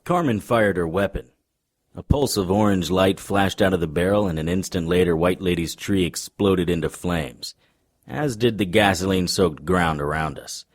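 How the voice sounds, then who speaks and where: An actor playing a wry, unflappable occult detective, narrating an audio drama in a dry noir style. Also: male voice, low voice, normal speed. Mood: wry, tense.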